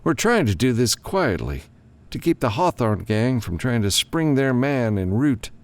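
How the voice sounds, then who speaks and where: Give the audiobook a grim, commanding lawman as acted by a male narrator. He briefs in a frank, businesslike way, raised in pitch, with wide emphatic swings and a gravelly creak. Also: big pitch swings, measured pace. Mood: businesslike, firm.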